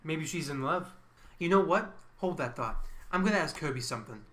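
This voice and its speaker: A man's voice: a little high, expressive, a little fast, a bit bright. A man acting as an ambitious, resentful mathematician in a radio play. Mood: weary, curt. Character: ambitious, resentful male mathematician scientist eager for promotion.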